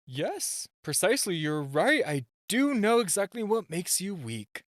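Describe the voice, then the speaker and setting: A man's voice: high voice, very animated, dry recording, clean studio sound. A man playing a super-shy spy gadget guy in a romantic comedy audio roleplay, nervous and stammering, easily flustered. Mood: businesslike, exasperated. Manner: nervous, stammering, halting, self-interrupting.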